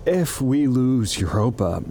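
A corporate recruiter in a science fiction audio drama, his mid-pitched voice swinging expressively. He coaxes and pleads earnestly, hesitating as he goes, with slight noise behind him. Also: very animated, mellow. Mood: pleading. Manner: hesitant, halting, coaxing. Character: persuasive, evasive male corporate committee recruiter, earnest and pleading. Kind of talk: full-cast science fiction radio drama acting.

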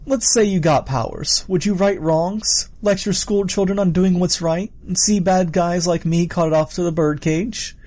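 A man's voice: high voice, unhurried, hardly any pauses, a little mellow, voice pushed up. A man voicing a sadistic, playful villain in an audiobook story, musing and taunting in a theatrical way. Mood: mocking. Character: sadistic, playful adult male killer, theatrically menacing villain.

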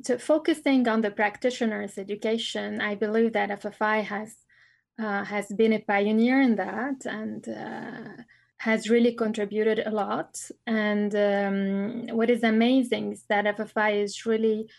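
A woman's voice: medium pitch, fairly even intonation, measured pace, vocal fry, darkish tone, no room sound. A middle-aged woman from Lebanon, a family business scholar, answering interview questions thoughtfully with frequent fillers and restarts.

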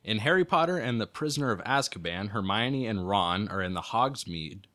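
Lower-pitched man with a sharp, bright voice. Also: expressive, normal speed. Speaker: podcast producer and quiz reader. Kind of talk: casual chat, reading quiz questions aloud.

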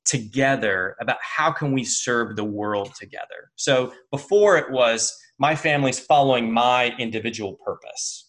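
A man's voice: medium pitch, fairly bright tone. Earnest man sharing his story. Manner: earnest, halting, many restarts and fillers. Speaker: interview guest. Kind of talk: reflective conversational interview.

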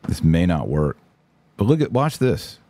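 A man's voice: deep voice, sing-song, unhurried, dark tone, no echo. A man hosting a podcast, giving casual, emphatic and opinionated livestream commentary with informal slang. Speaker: podcast host.

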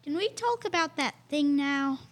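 A woman's voice: high voice, expressive, moderate pace. A young girl playing an insistent, secretive blackmailer at a party in an amateur radio play. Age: child or teenager.

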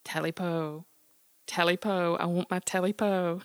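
A woman voicing a short, stubby clawed creature that chants its demands in a low, gravelly tone. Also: fairly even intonation, a little slow, no room sound. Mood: menacing.

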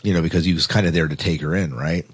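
A man with a very low, rough-edged voice chats casually as a podcast co-host. He is enthusiastic and repeats words for emphasis. The sound is close and dry, with little room on it. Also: very fast, no echo. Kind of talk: casual chat about sci-fi TV.

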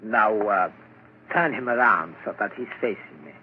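An actor playing a calm, measured doctor in a 1940s radio drama, with a husky voice. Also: male voice, on the low side, slight background noise, lightly acted. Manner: slow, measured and soothing. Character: calm male asylum doctor. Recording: dull old radio recording.

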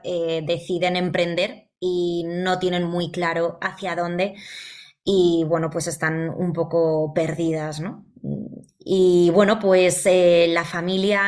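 A woman in her thirties from Valencia, telling her story as a podcast guest in a chatty way, full of fillers and tag questions. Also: on the low side. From Valencia, Spain. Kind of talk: conversational storytelling.

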